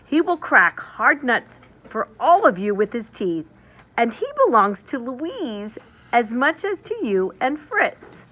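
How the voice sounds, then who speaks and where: A woman voicing a kindly storybook father who explains things gently and deliberately to children. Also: fairly high pitch, sing-song, pitched above the performer's own voice, heavily acted. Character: kindly, measured father and doctor addressing children.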